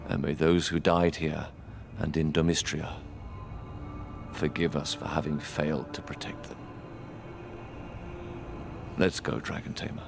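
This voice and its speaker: A grave fantasy game warrior. The voice is extremely low, slow and gravelly. It is solemn and measured, with music underneath. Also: male voice, flat delivery, full character voice. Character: solemn, grave male warrior, remorseful comrade. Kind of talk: dramatic video game acting. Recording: music behind the voice. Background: clear music.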